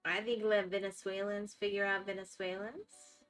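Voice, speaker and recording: A woman's voice: mid-range voice, little pitch movement, moderate pace, faint music behind. A woman with a Florida accent, chatting casually with viewers as a live streamer and reacting to their comments. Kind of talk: casual chat while gaming.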